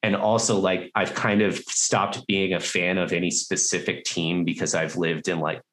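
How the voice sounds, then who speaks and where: A man's voice: low voice, flat delivery, moderate pace, a bit bright. A man with a Canadian accent, chatting informally as an interview host asking quiz questions. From Toronto, Canada.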